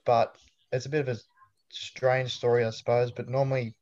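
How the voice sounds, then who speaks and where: A man's voice: medium pitch, little pitch movement, moderate pace, darkish tone, muffled recording. A young Australian man in his twenties, chatting casually as an interviewee with a laid-back, storytelling manner and dry humor. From New South Wales, Australia. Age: 20s.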